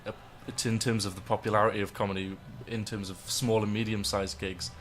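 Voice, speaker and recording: A man's voice: lowish voice, level tone, brisk, soft background music. A young man presenting a radio show and interviewing a comedian, hesitant and full of fillers. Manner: hesitant, lots of uh and sort of.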